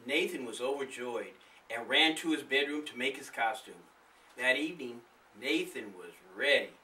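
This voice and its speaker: A man's voice: medium pitch, animated, moderate pace, pushed and bright, far from the mic, low-quality recording. A man reading his own story aloud as a scripted storybook narrator. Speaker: author and narrator.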